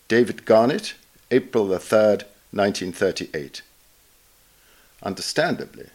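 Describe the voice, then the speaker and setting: Male narrator, lowish register, for a formal, measured reading of a literary essay. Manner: measured, formal, reading punctuation and dates aloud. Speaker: reader of a recorded article.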